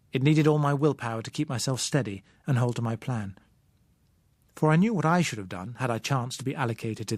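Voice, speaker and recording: A man with a British accent reading a novel as an audiobook narrator, measured, literary and expressive in delivery. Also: mid-range voice, no echo. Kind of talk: dramatic scripted novel narration.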